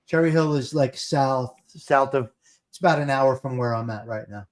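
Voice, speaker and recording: A man from New Jersey, with the accent to match, hosts a casual livestream chat. His medium-pitched delivery is plain-spoken, with phrases repeated for emphasis. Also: normal speed. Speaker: livestream host.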